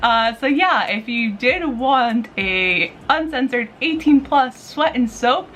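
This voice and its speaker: A woman's voice: a little high, animated, slow, fairly bright tone, a little hiss or hum. A woman giving casual, chatty recommendations as a video host, with an enthusiastic, gushing, informal way of talking. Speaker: manga reviewer and video host.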